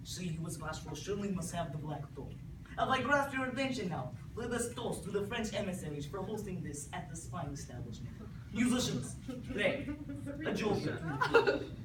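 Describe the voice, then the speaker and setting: A woman's voice: animated, normal speed, close to an everyday voice. Lady playing a big hearty nobleman host throwing a feast, barking orders, echoey room, mic far off, noisy. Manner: grand, booming commands. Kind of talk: live puppet-show acting.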